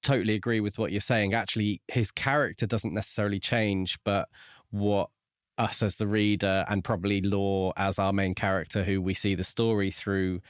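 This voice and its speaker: A man co-hosting a podcast, discussing a book casually in a measured, reflective and explanatory way. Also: lowish voice, fairly even intonation, clean tone, no echo, studio quality. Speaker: podcast co-host.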